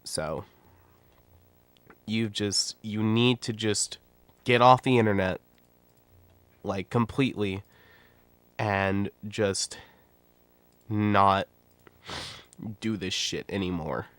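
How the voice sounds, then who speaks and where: Give me a blunt young man streamer, stuffy and nasal with a cold, smooth and unhurried. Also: lowish voice, fairly bright tone, no echo. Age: 20s. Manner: blunt, rambling, frank. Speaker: YouTuber and streamer. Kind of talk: casual livestream commentary.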